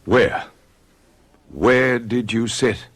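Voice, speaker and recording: An actor playing a calm doctor who questions a hypnotized patient in a radio drama. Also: male voice, mid-range voice, very slow, breathy edge. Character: calm probing male doctor.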